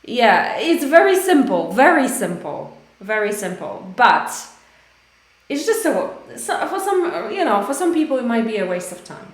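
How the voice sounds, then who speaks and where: Woman teacher, hoarse, unhurried and hesitant, fairly high voice, roomy echo. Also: very animated. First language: English. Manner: slow, hesitant, many fillers and restarts. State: hoarse, losing her voice. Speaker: English teacher. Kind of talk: live online English lesson.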